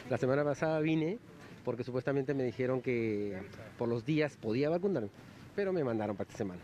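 A man's voice: mid-range voice, animated, quick pace, dark tone, a little hiss or hum. A man in his forties plainly explaining to a reporter. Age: 40s. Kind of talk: street interview.

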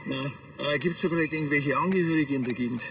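A man's voice: a little high, fast talker, creaky, far from the mic, background noise. An actor playing a flippant, tactless Bavarian policeman in a TV crime comedy, dry and offhand. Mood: brisk, official. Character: flippant, tactless small-town male policeman. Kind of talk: naturalistic TV crime comedy acting.

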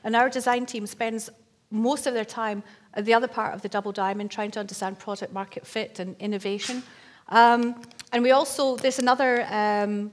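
Lively woman telling stories on stage. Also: on the high side. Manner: lively storytelling with laughs and fillers. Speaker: conference speaker. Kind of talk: conference talk and on-stage Q&A. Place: large dark conference stage. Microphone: stage microphone at lectern.

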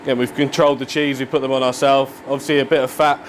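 A man's voice: medium pitch, flat delivery, quick pace, a little hiss or hum. A man, a chef explaining his work casually and matter-of-factly to camera. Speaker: head chef at a football club. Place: indoors, club kitchen and dressing room.

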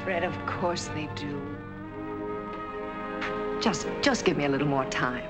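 An actress playing a calculating young American wife torn between two men, in 1940s film noir style. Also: female voice, low voice, takes it slowly. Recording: 1940s film soundtrack with heavy music.

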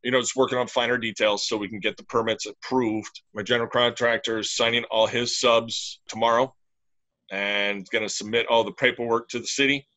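A middle-aged man speaks over a webcam call from a small room, sounding bright and upbeat. He talks plainly and in detail as an interview guest. His delivery is easygoing and conversational. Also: medium pitch, steady tone, a little fast, poor audio. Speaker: brewery owner, guest. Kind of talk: casual video-call interview. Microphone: webcam on a video call.

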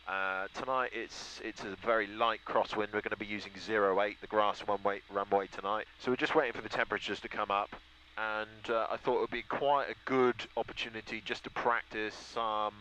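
A man's voice: lowish voice, a bit bright, rough recording. A British man, a student pilot, narrating a vlog and commentating from the cockpit in an informal way. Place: small microlight aircraft cockpit. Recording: engine and cockpit noise.

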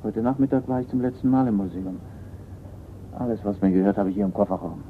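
An actor playing a resigned art forger on the run in a radio crime drama, terse and subdued. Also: male voice, on the low side, fast talker, close to an everyday voice. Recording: tinny band-limited radio recording with hiss.

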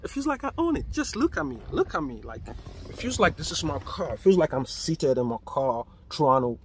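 A man in his thirties walking a car auction lot, filming himself on a phone and talking casually and excitedly about the cars. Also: a little high, brisk. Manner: excited, chatty, exclaiming over cars. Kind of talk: casual selfie vlog. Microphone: phone camera at arm's length. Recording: outdoor phone audio with background noise.

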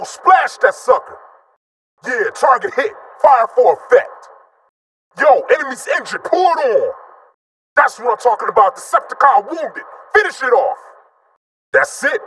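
A cocky robot jet leader from a video game. His voice sits very high for a male and swings widely in pitch. He barks punchy, slangy battle orders with brash swagger. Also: a bit bright, heavily acted, rough recording. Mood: urgent, fired up, then cocky, triumphant.

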